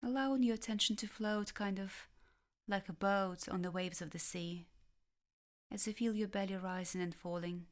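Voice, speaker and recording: A woman with a mid-pitched voice leads a guided meditation. She speaks gently and calmly, giving each instruction in measured phrases. Long pauses separate her lines. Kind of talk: guided body scan meditation.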